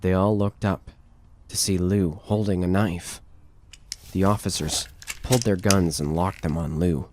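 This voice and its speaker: A man's voice: sits low, fairly even intonation, unhurried, noisy background. A man reading scripted narration for a horror audio drama.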